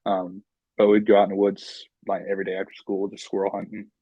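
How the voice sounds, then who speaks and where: A man's voice: deep voice, monotone, quick pace, warm tone, husky, dry recording, lo-fi sound. A young man from North Carolina, a guest chatting casually and rambling with many ums. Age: young adult. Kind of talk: casual interview chat.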